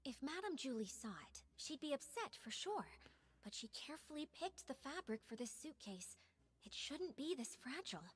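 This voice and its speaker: A woman's voice: sits high, sing-song, normal speed. A woman voicing a polite, composed young female investigator with an analytical manner in a video game.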